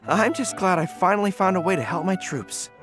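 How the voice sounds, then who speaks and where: An actor playing an earnest, easily flustered young general in an anime game, boyish, sincere and respectful. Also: male voice, high-pitched, normal speed, over music, close to an everyday voice. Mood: relieved.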